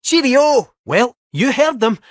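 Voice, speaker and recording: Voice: high voice, sing-song, slow, dry recording, clean studio sound, exaggerated voice. An actor voicing a jaunty, theatrical robot cat with a Scottish accent in a video game, helpful, folksy and a little fussy. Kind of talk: animated video game character acting.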